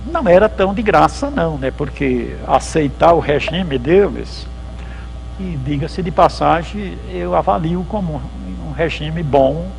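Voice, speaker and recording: A man's voice: fairly high pitch, animated, measured pace, vocal fry, a little mellow, slight background noise. A man, a pastor giving a church history lecture at a symposium, telling it in a chatty way and acting out the dialogues. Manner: conversational storytelling, acts out dialogues. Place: lecture hall at a theological symposium.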